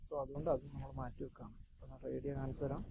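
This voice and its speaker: A man giving a casual, halting unboxing demonstration, pausing to search for words. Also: medium pitch, steady tone, vocal fry, mellow. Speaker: product reviewer. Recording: noisy amateur recording with ambient sound.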